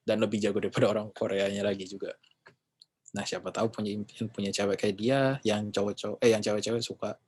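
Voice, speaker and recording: A man's voice: fairly low pitch, level tone, fast, darkish tone, a little hiss or hum. A man giving a casual class talk, rambling and often correcting himself with chatty fillers. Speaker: English class speaker.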